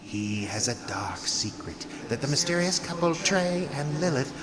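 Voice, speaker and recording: A man's voice: on the high side, lively intonation, unhurried. A man narrating a scripted suspense story as its host, in a theatrical, conspiratorial manner. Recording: clear ambient noise behind narration.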